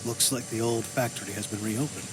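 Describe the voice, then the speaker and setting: A man's voice: medium pitch, steady tone, a little fast, background noise, lightly acted. An actor playing a troubled, guarded man in a video game, speaking tersely and broodingly. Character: troubled, guarded man searching for his missing sister. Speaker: main character of a video game. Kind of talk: scripted game voice acting, brooding monologue and dialogue.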